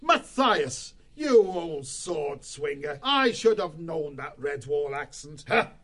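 Gruff shrew boss, as a male narrator puts him on, high, swooping, slow and commanding. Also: big pitch swings, a bit bright, full character voice. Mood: hearty. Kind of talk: audiobook character voice.

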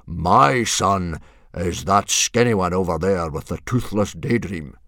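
A man voicing a jovial, boastful Viking chieftain, hearty and loud, in a children's storybook. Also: deep voice, a little slow, dry recording, clean studio sound. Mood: proud, happy.

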